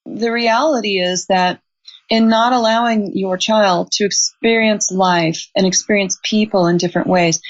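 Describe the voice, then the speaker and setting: A woman in her sixties, a grandmother and author, giving earnest, instructive advice in a measured way. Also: medium pitch. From Montana. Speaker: guest, author. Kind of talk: advice in an interview. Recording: slightly dull lo-fi audio.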